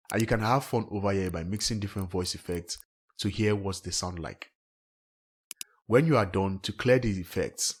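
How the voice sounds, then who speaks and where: Deep, husky man narrating a tutorial. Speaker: tech tutorial host. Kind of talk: scripted step-by-step software tutorial narration.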